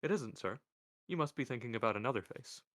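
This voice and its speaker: A man's voice: mid-range voice, expressive, fast, fairly bright tone, voice pushed up, close to an everyday voice. A man voicing Cutwell, a hesitant, deferential wizard. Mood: timid. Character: Cutwell, polite timid male wizard.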